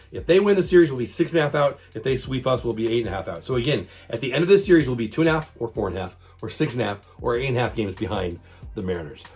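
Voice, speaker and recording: A man with a hoarse voice from a cold, hosting a casual sports fan vlog and talking chatty and repetitive. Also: mid-range voice. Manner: chatty, repeats himself, fan talk. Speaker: channel host.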